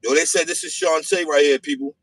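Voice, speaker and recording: High, bright-voiced man taunting loudly. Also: steady tone, fairly quick, no echo. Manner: taunting, laughing, repeats calls of "hey, yo". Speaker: podcast guest. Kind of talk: loud mocking banter on a live stream.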